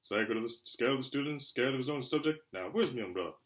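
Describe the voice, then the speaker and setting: A huge, gruff but kindly fellow, played by a male narrator, talks in blunt, clipped, homely phrases. It is a lively storybook character with plenty of rise and fall in the voice. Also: mid-range voice, fast, close to an everyday voice. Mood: breezy, matter-of-fact.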